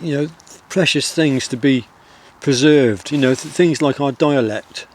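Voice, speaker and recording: A middle-aged man speaks with a soft Wiltshire country accent, his voice at an ordinary pitch for a man. He talks in a gentle, rambling way, stopping often on fillers. He is recording outdoors in the countryside. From England. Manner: soft-spoken, rambling, with many pauses and fillers. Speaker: outdoor vlogger. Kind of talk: reflective outdoor vlog.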